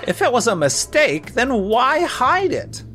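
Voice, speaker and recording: A man's voice: extremely high pitch, very animated, a little slow, pushed and bright, soft background music, full character voice. A man playing a stern, exacting corporate manager in a scripted manga dub, probing and reproachful.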